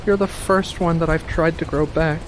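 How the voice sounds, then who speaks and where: A man voicing a playful, mischievous furry witch of the woods in a soft-spoken, teasing ASMR roleplay, full of gentle endearments. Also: fairly high pitch, steady tone, moderate pace, a little hiss or hum, natural voice. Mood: teasing, apologetic.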